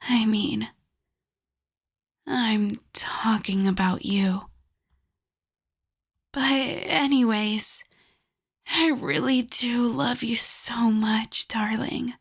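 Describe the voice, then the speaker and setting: A woman voicing a possessive, doting yandere wolf girl in an intimate ASMR roleplay, soft and often whispered, sweet with a menacing edge. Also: a little high, steady tone, husky, heavily acted. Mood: adoring, cooing. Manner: slow, cooing, lingering over endearments.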